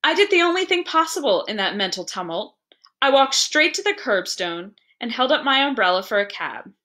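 Woman narrating a storybook, bright crisp voice, a bit high, dramatic phrasing. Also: big pitch swings, moderate pace. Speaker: librarian reading a story aloud. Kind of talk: expressive literary storybook narration.

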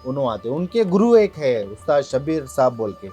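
A man's voice: mid-range voice, very animated, normal speed, dark tone, slightly rough, soft background music. A man with a Hyderabadi accent explaining his craft casually in an interview, often circling back over his points. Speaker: tabla maker and shopkeeper, interviewee.

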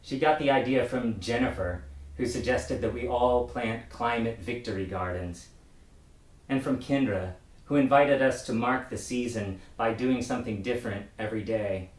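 A middle-aged male pastor gives a sermon in a measured, reflective manner. His voice sits at an ordinary male pitch. It was recorded indoors with a roomy echo, a little away from the microphone. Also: far from the mic. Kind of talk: prepared sermon. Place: book-lined room indoors.

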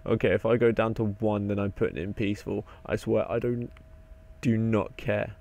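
A young man with a croaky voice, rambling and swearing casually while narrating his gameplay. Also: on the low side, fairly even intonation, moderate pace, a little mellow. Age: young adult. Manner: rambling, swears often, mutters to himself. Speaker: game video maker.

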